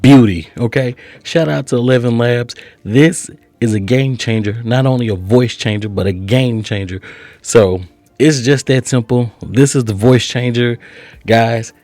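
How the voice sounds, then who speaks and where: A man's voice: medium pitch, unhurried, darkish tone, no room sound. A man hosting a casual step-by-step tutorial, chatty and instructional, often checking in with short tags. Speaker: host of software tutorial channel.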